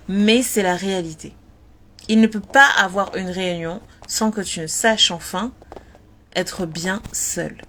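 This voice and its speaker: A woman talking earnestly and directly to her listeners, repeating words and pausing on fillers as she gives spiritual advice. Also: on the low side, fairly bright tone. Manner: earnest, repetitive, lots of fillers. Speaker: spiritual advice content creator. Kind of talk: casual talk.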